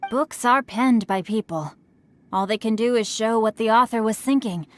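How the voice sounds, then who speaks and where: A man's voice: sits high, normal speed, slight background noise, lightly acted. A man voicing a refined, bookish young nobleman who talks with courtly eloquence in a game cutscene. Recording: game cutscene with faint music.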